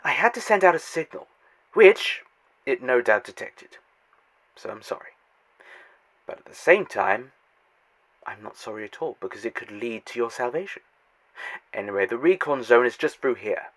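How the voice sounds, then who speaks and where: A man's voice: mid-range voice, lively intonation, normal speed, long pauses, a bit bright, natural voice. An actor playing a scheming, theatrical male villain in a fan audio drama, talking to a captive in a grandiose, mock-apologetic monologue. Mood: smooth.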